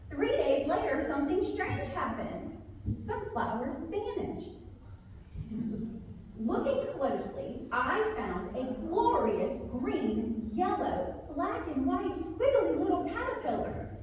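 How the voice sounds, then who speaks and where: A woman author speaking and reading a children's story aloud to a group, with expressive, drawn-out emphasis on key words. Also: high voice, lo-fi sound. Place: large reverberant room, live community gathering. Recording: very echoey hall, distant, faint noise.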